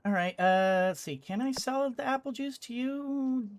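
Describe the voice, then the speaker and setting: A woman's voice: high voice, moderate pace, noisy background. A woman giving casual let's play commentary, thinking aloud with hesitant phrasing as she goes. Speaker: gaming streamer.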